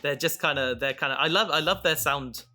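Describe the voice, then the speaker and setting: A man's voice: fairly high pitch, brisk, music in the background. A man chatting casually as a podcast host about his creative work, rambling with enthusiasm and often trailing off mid-thought. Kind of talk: casual chat about making an audio drama.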